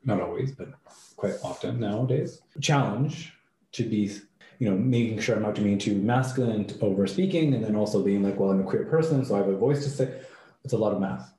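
A man's voice: on the low side, fairly quick, mellow. A man from Canada, a psychotherapist, talking earnestly and thoughtfully as an interview guest, often restarting his words. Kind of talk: reflective interview talk. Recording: echoey room.

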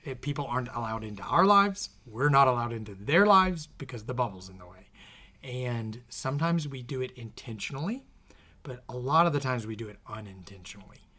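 A man's voice: medium pitch, animated, brisk. Man with a scratchy voice. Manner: reflective, earnest, often trails off softly. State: slightly scratchy voice. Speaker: podcast host and author. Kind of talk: casual podcast conversation. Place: in the same room as co-host.

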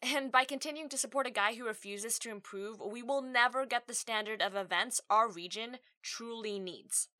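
A woman's voice: a little high, normal speed, hardly any pauses, sharp tone. A woman in her thirties from Australia, hosting her own podcast in a chatty, candid monologue with frequent laughs and asides. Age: 30s. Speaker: podcast host. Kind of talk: casual solo podcast monologue.

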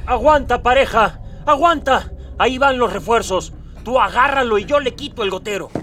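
High-voiced earnest hero. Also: male voice, animated, normal speed, fairly bright tone, faint music behind. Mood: determined. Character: earnest young Mexican male hero, determined. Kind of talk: radio drama acting. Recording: distant from mic.

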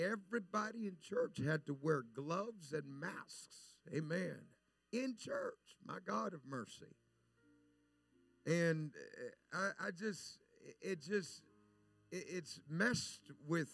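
A man, a guest preacher whose first language is Spanish, delivering a Pentecostal sermon and dropping "amen" and "praise God" between his sentences. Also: a little high. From El Salvador.

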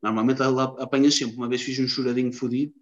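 Homem lisboeta calão, som abafado. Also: male voice, medium pitch, flat delivery, quick pace. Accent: Lisbon Portuguese. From Lisbon, Portugal. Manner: slangy, swears a lot, rambling. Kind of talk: casual chat. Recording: dull lo-fi sound.

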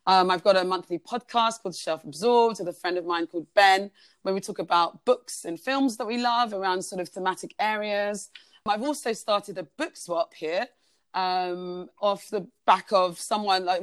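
A woman in her thirties, originally from Uganda, who speaks. Her talk is warm and chatty, full of "um"s, "like"s and restarts. Her voice sits mid-range and the recording is dry and close. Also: medium pitch, smooth, dry recording. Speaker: podcast guest. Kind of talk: casual conversational storytelling.

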